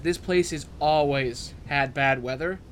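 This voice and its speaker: A man working as game master, narrating a tabletop role-playing game casually with dramatic pauses. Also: on the high side, heavy background noise.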